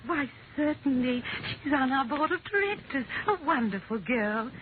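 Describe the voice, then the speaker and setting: A woman's voice: high voice, sing-song, moderate pace. Old lady, scatterbrained, hesitant, officious but kind, 1950s radio drama, narrow noisy sound. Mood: pleasant, chatty. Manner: fluttery, hesitant, politely officious. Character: smiling, scatterbrained, kindly old lady running a mission. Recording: muffled, noisy vintage radio broadcast.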